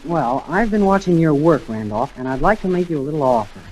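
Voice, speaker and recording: A teenage boy, played by a man, wary and plain-spoken with lively swings in pitch. It is 1940s radio comedy acting, faintly noisy. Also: a little fast, lightly acted.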